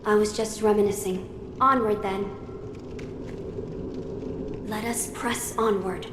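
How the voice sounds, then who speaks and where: An actor playing a gentle, composed young noble boy lord in a video game, soft-spoken and formal. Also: sits high, steady tone, slow. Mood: thoughtful, composed. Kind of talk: dramatic video game acting. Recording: echoey, clearly noisy game audio.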